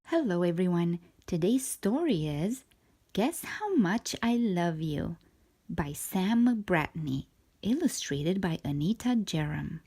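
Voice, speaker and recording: Slow, breathy woman reading a storybook. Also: on the low side, sing-song, dark tone. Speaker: children's storyteller, host. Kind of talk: scripted storybook narration for young children.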